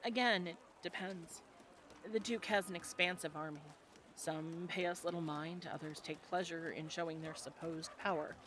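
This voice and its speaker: A woman playing a friendly, teasing medieval fantasy tavern local in an audio roleplay, talking in a conversational, gently instructive way to a newcomer. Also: on the low side, steady tone, moderate pace, a little hiss or hum, natural voice. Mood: guiding. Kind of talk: audio roleplay acting, adventure ASMR.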